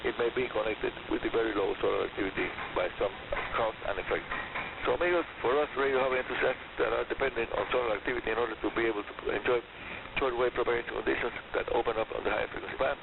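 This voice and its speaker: Male radio host, brisk and gravelly delivery with deliberate repetition, narrow shortwave sound. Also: mid-range voice, steady tone. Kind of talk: scripted radio program narration on technical hobby topics. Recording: hissy, noisy shortwave radio reception. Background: radio static and hiss.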